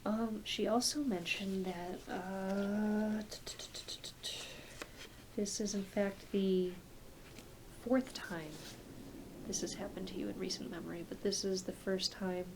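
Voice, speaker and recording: A woman playing a kind, reassuring doctor in a soft-spoken ASMR roleplay, talking in a gentle, hesitant, conversational way. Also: medium pitch, fairly even intonation, slow pace, pauses often, slight background noise, low-quality recording. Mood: calm, reassuring. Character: kind, reassuring doctor who treats werewolves.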